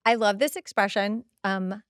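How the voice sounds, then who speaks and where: A woman's voice: mid-range voice, normal speed, no echo, studio quality. A woman hosting a podcast, giving a casual, chatty and emphatic recap of a TV episode. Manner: expressive, emphatic, chatty, acts out quoted dialogue. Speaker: podcast host.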